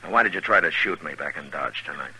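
A stern Old West marshal in a vintage radio western. His American voice is very deep, and he speaks with measured authority. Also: lively intonation, pushed and bright, slight background noise, heavily acted, low-quality recording. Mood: stern, calm. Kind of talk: 1950s radio western acting. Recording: vintage 1950s radio broadcast.

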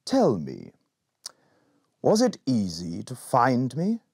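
A tiny, proud storybook lizard full of wisdom, voiced by a man. It speaks slowly and deliberately in a husky voice. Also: medium pitch, wildly swooping pitch, darkish tone, heavily acted. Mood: haughty, knowing. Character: tiny, quiet, proud, wise magical lizard.

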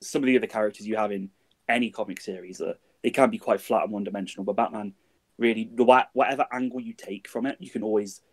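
A man's voice: fairly low pitch, a little mellow. A man answering interview questions casually as a guest writer, rambling and correcting himself as he goes. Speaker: guest, audio drama writer.

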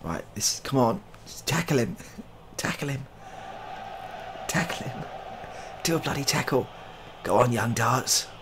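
A man's voice: mid-range voice, animated, a little slow, long pauses, noisy background. A man in his thirties giving casual, joking game commentary, muttering in mock exasperation with mild swearing and repeated phrases. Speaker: gaming video creator.

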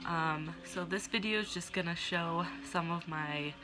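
A woman's voice: fairly low pitch, a little slow, music in the background. Stuffy-nosed woman vlogging on her phone. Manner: chatty and a little awkward. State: stuffy nose. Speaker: vlogger and gym co-owner. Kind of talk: casual vlog to camera. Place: indoors, small room. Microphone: phone at arm's length.

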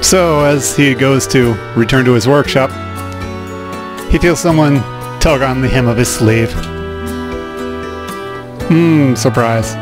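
Man, let's play host, mid-pitched, casual wry commentary, game music behind. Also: takes it slowly, long pauses. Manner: casual, wry, dry humor.

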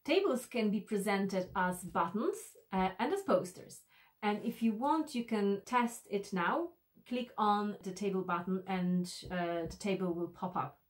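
A woman in her thirties presenting an instructional tutorial to camera, explaining step by step in a deliberate, slightly hesitant way. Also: mid-range voice, expressive. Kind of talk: instructional screencast walk-through to camera.

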